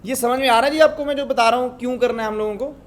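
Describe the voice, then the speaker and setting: A man's voice: extremely high pitch, very fast. A man with an Indian accent teaching a step-by-step coding lesson, switching between two languages and often checking that learners follow. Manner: switches between Hindi and English; instructive, often checks understanding with tag questions. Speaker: programming instructor.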